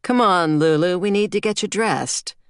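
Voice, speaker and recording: A woman's voice: on the low side, moderate pace, smooth, no echo, clean studio sound, voice pushed up, natural voice. Woman voicing an impatient, snappy dad. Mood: stern. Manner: curt, stern, snappy. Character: impatient, irritable father of young girls. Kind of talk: audiobook character voice.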